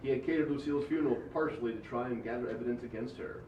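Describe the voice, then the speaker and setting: A man's voice: medium pitch, racing. A man reading scripted comedy narration as the narrator at a table read. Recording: roomy, distant, dull sound with noise.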